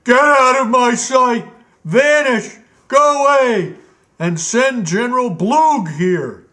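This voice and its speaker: A man's voice: slow, full character voice. A man shrieking as a furious gnome king. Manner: shrill, shouting, petulant. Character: tantrum-prone male gnome king, tyrant.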